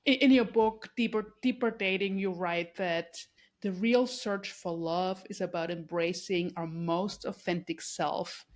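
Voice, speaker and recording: A woman's voice: fairly low pitch, steady tone, a little slow. Austrian-born woman hosting a podcast. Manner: warm, effusive, many restarts and fillers. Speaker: podcast host. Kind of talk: casual interview conversation.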